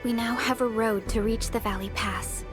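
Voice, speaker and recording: A woman playing a calm, authoritative expedition supervisor in a video game, formal and explanatory. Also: fairly high pitch, normal speed, close to an everyday voice. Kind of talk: video game character acting. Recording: voice over game music.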